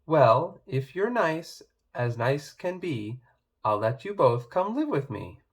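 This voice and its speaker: A man's voice: a little high, big pitch swings, slow, husky, full character voice. A man voicing a prim, protesting but forgiving young woman from a children's fairy-tale storybook. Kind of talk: children's storybook read-aloud.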